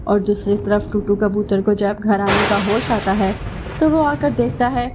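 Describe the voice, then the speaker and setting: A woman's voice: mid-range voice, fairly even intonation, moderate pace, noisy background. A woman giving scripted narration of a children's moral story.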